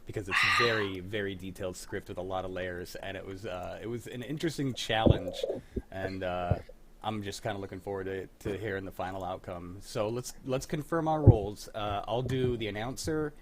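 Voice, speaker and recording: A man's voice: on the low side, brisk, very noisy. A young man hosting a live online script reading over a video call, rambling and correcting himself as he goes. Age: young adult. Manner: relaxed, rambling, self-correcting. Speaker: host and sound designer of a live script-reading stream. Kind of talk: casual chat on a livestream.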